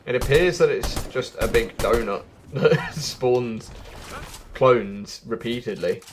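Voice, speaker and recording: A man's voice: medium pitch, slow, noisy background. A man giving casual, chatty walkthrough commentary as a gaming video host, often thinking aloud. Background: background music.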